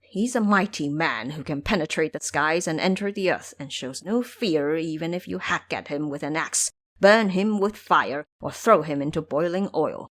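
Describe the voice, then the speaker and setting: A woman's voice: sits low, normal speed. A woman voicing a lazy, blustering old male boar monster in an audio drama, grumbling and blunt. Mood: retorting.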